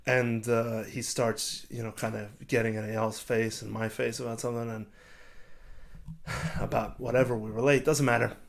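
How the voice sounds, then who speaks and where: A man's voice: medium pitch, little pitch movement, measured pace, creaky. A man chatting as a podcast guest, blunt and rambling, often restarting his sentences. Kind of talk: casual podcast chat. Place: comedy club.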